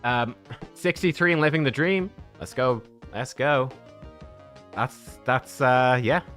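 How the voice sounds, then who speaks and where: A man's voice: mid-range voice, slow pace, a bit bright. Man in his thirties streaming, music behind. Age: 30s. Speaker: game livestreamer. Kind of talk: casual livestream chat with viewers.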